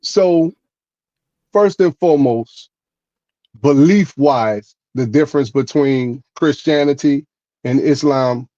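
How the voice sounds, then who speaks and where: A man co-hosting a podcast explains his views steadily and carefully, pausing often to ask "right?". His voice comes through a little muffled and dry, at a middling pitch. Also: warm tone, dry recording. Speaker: podcast co-host. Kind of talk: casual podcast conversation.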